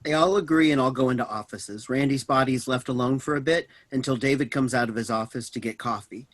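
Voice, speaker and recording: A man's voice: mid-range voice, quick pace. A man reading out stage directions as scripted narration in a play reading.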